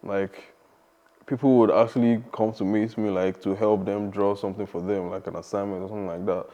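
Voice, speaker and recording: A young man, talking casually as a podcast guest in a relaxed, rambling way. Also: lowish voice, a little fast, lo-fi sound. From Nigeria. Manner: relaxed, rambling, many fillers and restarts.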